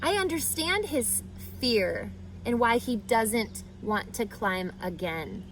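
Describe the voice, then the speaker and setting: A woman reading a picture book aloud for children, speaking expressively and deliberately and putting questions straight to her young listeners. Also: fairly high pitch, animated, a bit bright, a little hiss or hum. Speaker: read-aloud storyteller for children.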